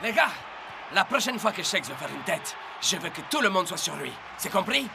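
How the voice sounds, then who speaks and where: An actor playing a firm, direct young footballer in a cartoon, with a gravelly voice. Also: male voice, high voice, very animated, moderate pace, noisy background, exaggerated voice.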